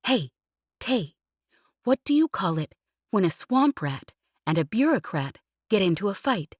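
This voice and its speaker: A woman voices a cheeky young pilot in an audiobook, pitched noticeably higher than the narrator's own voice. She is relentless and teasing, and the reading is slow and deliberate in a dry, close-miked space. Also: sing-song, no echo.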